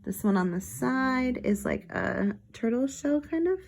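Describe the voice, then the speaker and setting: A woman's voice: sits high, animated, unhurried, gravelly, darkish tone. Woman reading a picture book aloud. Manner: explains pictures and words to young listeners. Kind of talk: storybook narration with casual commentary.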